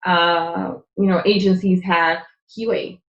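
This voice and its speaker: Low-voiced woman on an echoey line. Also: steady tone, takes it slowly, muffled recording. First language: Czech. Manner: earnest, explanatory, with frequent fillers. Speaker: podcast guest. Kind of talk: conversational interview.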